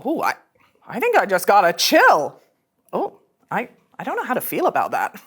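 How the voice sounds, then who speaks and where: A woman's voice: mid-range voice, wildly swooping pitch, normal speed, fairly bright tone, breathy edge, heavily acted. A woman playing a brash, irreverent young starship ensign in a sci-fi comedy, blunt and sarcastic. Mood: scheming. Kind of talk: animated sci-fi comedy table read.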